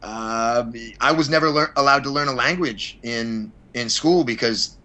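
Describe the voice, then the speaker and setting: A man with a bright, forceful voice talks as a guest in a casual interview. He rambles freely, states his opinions bluntly and lets mild swearing slip in. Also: mid-range voice, measured pace. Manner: blunt, opinionated, mildly profane. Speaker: podcast guest, fight promoter.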